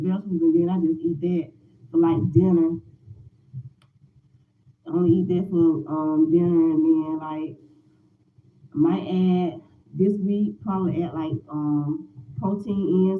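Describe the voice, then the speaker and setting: Forties woman vlogger, chatty and meandering; recorded on a tinny line in a roomy, noisy space. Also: lowish voice. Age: 40s. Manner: rambling, repeats herself, frequent "um" fillers. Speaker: lifestyle vlogger. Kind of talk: casual morning chat to viewers.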